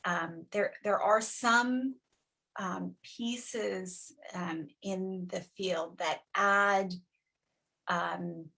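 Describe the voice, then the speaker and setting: A woman's voice: medium pitch, fairly even intonation, very slow, gravelly, sharp tone. A woman with an American accent speaking as an academic panelist, emphatic and careful with her wording. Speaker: academic panelist, South Asia scholar.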